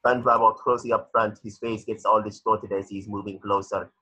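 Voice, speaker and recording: A man's voice: fairly low pitch, flat delivery, a little fast, bright, forceful tone, husky, rough recording. A man narrating a cartoon script's stage directions in a matter-of-fact way, sometimes stumbling over the words. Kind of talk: reading a cartoon script aloud.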